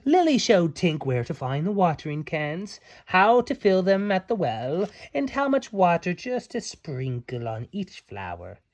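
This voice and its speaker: A man's voice: sits high, big pitch swings, unhurried, few pauses. A man reading a storybook aloud to children as a parent, playful and theatrical, with little asides. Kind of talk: animated storybook read-aloud.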